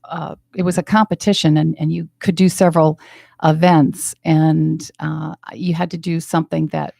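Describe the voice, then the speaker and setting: Life coach guest from New Hampshire, upbeat, lowish gravelly voice, dry close studio mic. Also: female voice, little pitch movement, normal speed, warm tone, dry recording. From New Hampshire, USA. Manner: upbeat, laughs often, restarts sentences. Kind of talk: radio interview. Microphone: studio microphone.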